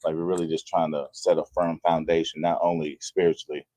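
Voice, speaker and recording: A man's voice: very deep voice, flat delivery, a little fast, mellow, no room sound. A man, talking earnestly and plainly as a guest in a conversational interview. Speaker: personal trainer, guest.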